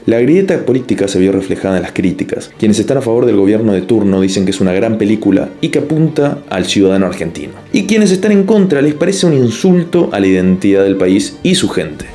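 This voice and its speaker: Un hombre que narra un ensayo en video con un tono pausado y explicativo. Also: male voice, lowish voice, very animated, mellow, faint music behind. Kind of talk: scripted narration.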